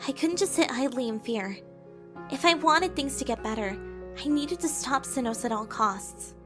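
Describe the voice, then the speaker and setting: A woman's voice: high voice, expressive, moderate pace. A woman playing an earnest, wounded and insecure young woman in a dramatic audio drama, with music behind her. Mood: resolute.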